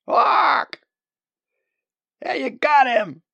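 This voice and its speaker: A man voicing an excitable, crude hunter who jeers and cackles in a storybook tale. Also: on the high side, normal speed, creaky, breathy edge, no echo, muffled recording, voice pushed up, full character voice. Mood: excited. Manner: shouting, jeering, cackling. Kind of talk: storybook character voice.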